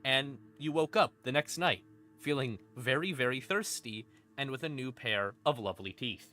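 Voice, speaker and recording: A man's voice: mid-range voice, normal speed, pushed and bright. A man casually explaining lore as a streamer, irreverent and sweary, with wry asides. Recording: eerie ambient music behind voice. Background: creepy ambient music.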